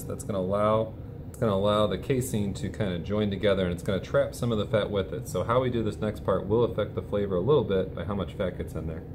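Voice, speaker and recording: A man teaching a simple cooking demonstration at an indoor lab bench, talking in a relaxed, explanatory way with plenty of "kinda" fillers. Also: low-pitched. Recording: steady background noise.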